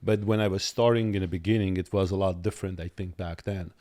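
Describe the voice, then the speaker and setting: A middle-aged Armenian-accented man with a lowish, warm, mellow voice, whose native tongue is Armenian. He talks earnestly as a guest on a podcast and repeats words while finding his footing. His delivery is calm and steady. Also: quick pace. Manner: earnest, repeats words when starting a thought. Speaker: guest lawyer. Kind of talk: podcast interview. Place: podcast studio.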